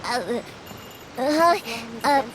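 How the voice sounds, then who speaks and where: Young fireworks maker, game role: high, very animated, kind-hearted, talkative and bubbly. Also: female voice, extremely slow, crowd noise, full character voice. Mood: wistful. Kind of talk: video game voice acting. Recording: faint music behind the voice.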